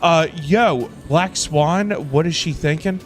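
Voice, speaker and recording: A man livestreaming a game with casual commentary, excitable and slangy, often repeating himself. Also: fairly high pitch, takes it slowly. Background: game music.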